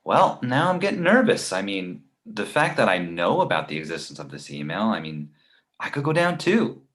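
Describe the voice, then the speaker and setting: A man's voice: on the low side, sing-song, normal speed, fairly bright tone. A man playing a nervous, nagging confidant who argues and worries aloud in a screenplay table read. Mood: nervous, agitated. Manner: argumentative, worrying aloud. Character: Victor, nervous nagging male confidant of a writer.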